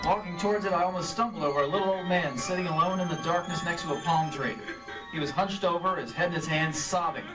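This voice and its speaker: An actor playing a cool, wry hard-boiled insurance investigator, narrating and talking in an old-time radio drama staged live. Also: male voice, a little high, quick pace, music in the background, close to an everyday voice. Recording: distant live stage recording.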